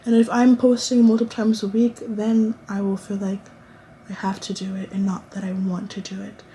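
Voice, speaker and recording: A woman in her late teens talks to camera from her college dorm room, chatty and rambling, often restarting her thoughts. Her voice is soft and mellow, with a faint hiss underneath. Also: medium pitch, monotone, moderate pace, low-quality recording. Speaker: vlogger. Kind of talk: casual chat to camera.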